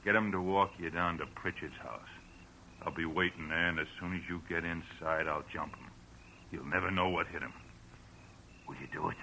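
A man's voice: deep voice, fairly even intonation, moderate pace, gravelly, pushed and bright, exaggerated voice, rough recording. An American man playing a pushy, hot-headed schemer from the country in punchy 1940s radio drama style. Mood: coaxing. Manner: insistent, punchy, plain-spoken. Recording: hissy old radio broadcast recording.

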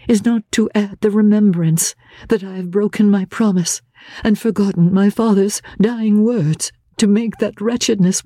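A woman voicing an anguished, gentle young gentlewoman, earnest and halting, in a dramatic classic audiobook. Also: medium pitch, dry recording, clean studio sound, voice pushed up, close to an everyday voice.

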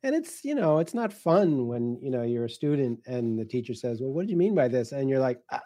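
A man talking casually on a panel as a writer and editor, hesitant and halting with many fillers. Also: medium pitch, very animated, warm tone.

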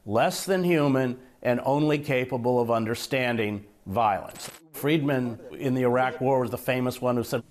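A man's voice: mid-range voice, moderate pace, few pauses. Indignant man in an interview. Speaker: interviewee, former correspondent.

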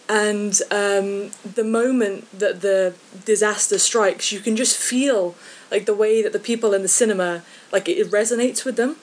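Woman, podcast guest, hesitant, lots of ums, mid pitch. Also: poor audio. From English Midlands. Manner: hesitant, many ums and likes, thoughtful. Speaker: freelance film journalist, podcast guest. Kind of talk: casual podcast chat.

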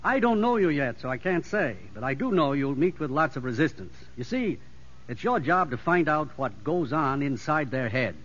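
Wry prison doctor, lively intonation. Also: male voice, fairly high pitch, moderate pace. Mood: wry, cautioning. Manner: wry, cautioning, plain-spoken. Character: seasoned, matter-of-fact male prison doctor. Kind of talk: 1950s radio drama acting. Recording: muffled 1950s radio recording.

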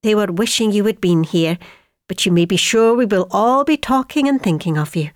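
A woman's voice: medium pitch, animated, moderate pace, dry recording, clean studio sound, close to an everyday voice. A middle-aged woman playing an affectionate wartime mother, chattily reading out a letter to her son. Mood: affectionate, chatty. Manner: homely, chatty.

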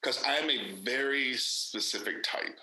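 A man's voice: mid-range voice, a little slow, pushed and bright, reverberant, rough recording. A man, an actor and director, speaking candidly and emphatically in a casual interview, with many fillers and restarts.

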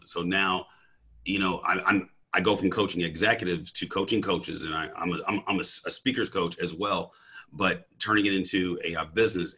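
A man talking casually and energetically in an interview about his work as a coach and speaker, with a deep voice and frequent restarts and stammers. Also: sharp tone, breathy edge. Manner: slangy, says "bro".

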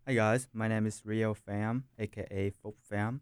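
A man's voice: on the low side, fairly even intonation, normal speed, smooth, no echo, clean studio sound. A young man in his late teens from Vietnam, a native Vietnamese speaker, chatting casually as a podcast guest. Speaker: podcast guest, college student. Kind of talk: casual interview talk.

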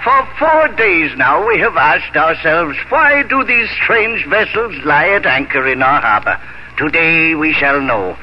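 An actor playing a warm, dignified elderly father and village leader in a 1950s radio drama. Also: on the high side, sing-song, a little slow, slight background noise. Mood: earnest. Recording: dull old radio recording, music behind.

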